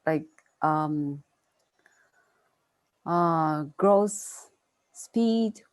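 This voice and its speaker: A woman's voice: sits low, drawn-out words, smooth. A woman answering as a panelist in an online discussion, searching for words with many fillers.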